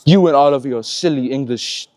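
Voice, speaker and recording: An actor playing an arrogant French castle guard with an exaggerated French accent, mocking and gleefully insulting. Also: male voice, mid-range voice, moderate pace, a little mellow, natural voice. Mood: taunting. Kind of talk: comic film scene.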